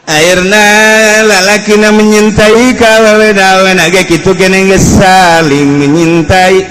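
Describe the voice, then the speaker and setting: A man's voice: high-pitched, steady tone, a little slow, fairly bright tone. A man with a Sundanese accent giving a humorous storytelling sermon as an Islamic preacher, moving between theatrical mock-romantic recitation and colloquial asides. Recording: echoey hall with long reverb.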